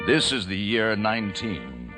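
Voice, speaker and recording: Deep-voiced, weary survivor in radio drama. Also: male voice, big pitch swings, a little slow. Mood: reflective. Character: weary, grieving male survivor leading a small community. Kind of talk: old-time radio acting with first-person narration. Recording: old radio recording with music behind.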